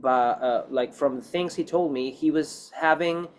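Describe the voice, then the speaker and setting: A man's voice: a little high, unhurried. A man speaking non-native English in a casual interview as an actor, rambling and repeating himself as he gives emphatic advice.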